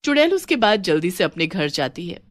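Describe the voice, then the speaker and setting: A woman's voice: lowish voice, sing-song, fairly quick, no room sound, clean studio sound. A woman storyteller giving scripted, dramatic narration of a horror tale.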